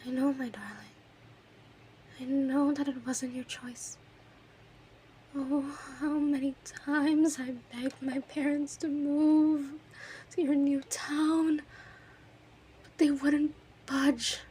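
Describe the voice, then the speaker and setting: A woman's voice: high-pitched, mellow, a little hiss or hum. A woman playing an obsessive, lovesick kidnapper in her thirties in an intimate, emotional audio roleplay monologue. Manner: clingy, emotional, swings between breathy whispers and outbursts.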